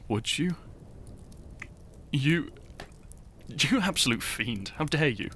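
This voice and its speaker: A man playing a shy young farmer turned hero in an intimate romantic audio roleplay, tender and teasing, speaking softly and haltingly. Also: on the high side, lively intonation, slight background noise, heavily acted. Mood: flustered, bashful. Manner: soft, hesitant, halting, sighing and chuckling.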